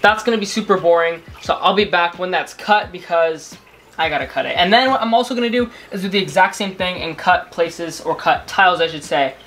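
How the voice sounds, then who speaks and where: A man's voice: high voice, brisk, a bit bright. A young adult American man chatting casually as an aquarium hobby vlogger, talking to a camera at home. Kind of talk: casual vlog chat and narration to camera. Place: mostly indoors in a home room with fish tanks.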